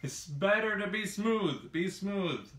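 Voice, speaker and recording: A man's voice: high voice, animated, takes it slowly, loud music behind the voice. A man in his thirties teaching xylophone at home, musing aloud with hesitant fillers as he demonstrates. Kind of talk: casual demonstration lesson. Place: home kitchen, indoors. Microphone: camera across the room. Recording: roomy kitchen sound from a distance. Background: xylophone playing.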